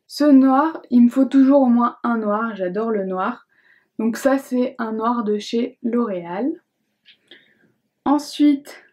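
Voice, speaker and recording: A young adult woman chatting casually to camera as a beauty vlogger, hesitant and chatty, with many fillers and restarts. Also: fairly high pitch. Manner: reads questions aloud in a brighter voice.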